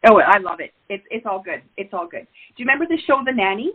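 A woman's voice: medium pitch, sing-song, fast talker, slightly rough. A woman hosting an interview who agrees readily and keeps the chat casual. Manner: warmly agreeable, affirming. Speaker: podcast host. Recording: tinny phone-like sound.

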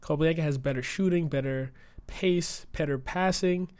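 A young man hosting a casual solo podcast, his voice croaky from a cold, chatty and rambling with many fillers. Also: a little high, moderate pace, darkish tone, dry recording. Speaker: podcast host and streamer.

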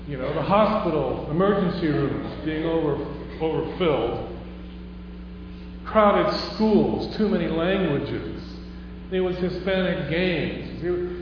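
A man from Houston giving a conversational chapel talk to a live audience, telling stories and rising to emphatic questions. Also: high-pitched. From Houston, Texas. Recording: echoey hall from far off, dull with hum.

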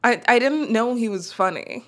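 A woman's voice: fairly high pitch, animated, normal speed, a bit bright. A woman co-hosting a podcast, chatting casually and slangily about movies and readily chiming in agreement. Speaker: podcast co-host. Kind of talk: casual movie-review chat.

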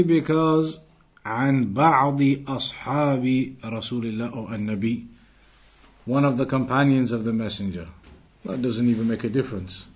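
A man teaching a religious text lesson to a class, explaining each phrase, asking rhetorical questions, and reciting quoted Arabic quickly and fluently. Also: mid-range voice, a little mellow. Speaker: Islamic teacher.

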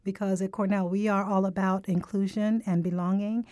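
Brief: lively woman from Jamaica as podcast co-host, dry close sound. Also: mid-range voice, normal speed, dry recording. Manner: upbeat, lively, personable. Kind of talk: casual podcast conversation.

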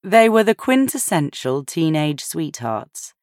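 Female narrator, low voice, unhurried pace, dry close sound, scripted fiction. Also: sing-song, a little mellow, no echo. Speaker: audiobook narrator.

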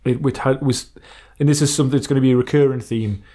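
A man's voice: medium pitch, steady tone, fast, mellow. A man co-hosting a podcast, chatting informally and reading out listener messages. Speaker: podcast co-host. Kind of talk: casual chat about retro racing games, reading out listener emails.

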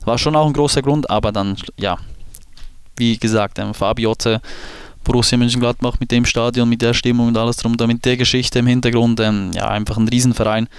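A man in his late twenties from Switzerland with a Swiss German accent, a guest talking casually in a podcast interview and pausing often with fillers and restarts. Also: medium pitch, steady tone, clean tone, no room sound. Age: 20s. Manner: hesitant, many fillers and restarts. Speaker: football goalkeeper guest.

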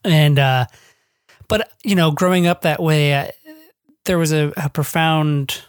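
American writer being interviewed, mid pitched voice, rambly and halting, lots of hedging, really dry recording. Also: smooth, no echo. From northwest Ohio, United States. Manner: halting, discursive, thinking aloud with frequent hedging. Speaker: guest author of a memoir. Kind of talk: reflective podcast interview talk.

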